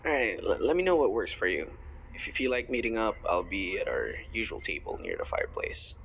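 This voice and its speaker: A young male student played by a man speaks low and gravelly, as a fond, playful best friend in a boyfriend audio roleplay. His delivery is soft and intimate, with small hesitations. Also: very animated, brisk, muffled recording, slight background noise, exaggerated voice. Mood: casual, playful.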